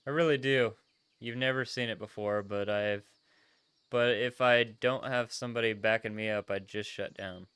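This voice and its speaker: A man's voice: lowish voice, steady tone, normal speed, fairly bright tone, clean tone, close to an everyday voice. A man voicing an earnest, sociable but self-doubting young trainer in a visual novel, casual and hesitant. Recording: music behind the voice.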